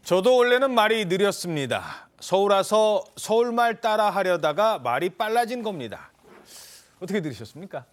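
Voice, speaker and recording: A man anchoring a Korean TV news talk show, reading introductions and moderating a panel in a formal, measured way. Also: high voice, very animated.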